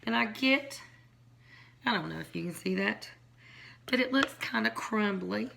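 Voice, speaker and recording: A hoarse woman, chatting casually as she shows her home cooking in a kitchen. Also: mid-range voice, lively intonation, measured pace. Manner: chatty, rambling, folksy. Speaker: home cook. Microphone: phone or camera on counter. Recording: noisy home recording.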